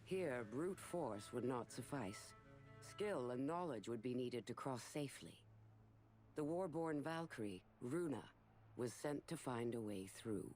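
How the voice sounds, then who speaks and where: A man's voice: medium pitch, animated, unhurried, a little mellow, soft background music, close to an everyday voice. A man playing a serious storyteller, giving dramatic scripted narration for a Viking video game cutscene.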